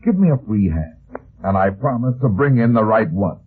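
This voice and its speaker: An actor playing a tough, wisecracking private detective in an old-time radio drama, clipped and slangy. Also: male voice, fairly low pitch, lively intonation, moderate pace, slight background noise, natural voice. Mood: firm, insistent. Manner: clipped, hard-boiled, slangy. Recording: muffled, phone-like old radio recording.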